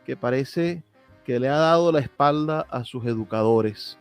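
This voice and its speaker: A Venezuelan man presents a radio program in a mid-range voice. His delivery is earnest, measured and reflective, like a host addressing his listeners. Faint music plays behind him. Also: medium pitch. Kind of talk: radio show introduction and interview.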